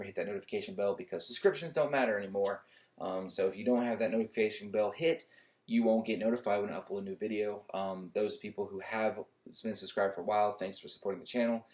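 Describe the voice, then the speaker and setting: A young adult man ranting at home for his channel in a loud voice, indignant and repetitive, often restarting his sentences. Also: sits low. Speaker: YouTuber. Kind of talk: casual opinion rant to camera.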